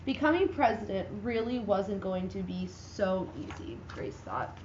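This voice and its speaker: A woman giving a dramatic reading of a children's picture book, with lively, expressive delivery and voices for the characters. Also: medium pitch, measured pace, reverberant, muffled recording, a little hiss or hum. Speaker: reader.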